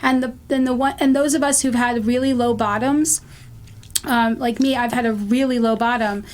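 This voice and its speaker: A woman's voice: a little high, steady tone, moderate pace, darkish tone. A woman hosting a podcast about recovery, earnest and emphatic, encouraging her guest. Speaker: podcast host, recovery store owner. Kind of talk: casual podcast conversation.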